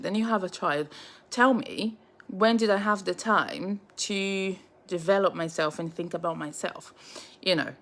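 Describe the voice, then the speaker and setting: Woman, late 30s, Brazilian Portuguese accent, Portuguese native; casual vlogger, lower-pitched, rambling with ums. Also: fairly bright tone. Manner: chatty, many fillers and restarts. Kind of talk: casual personal vlog.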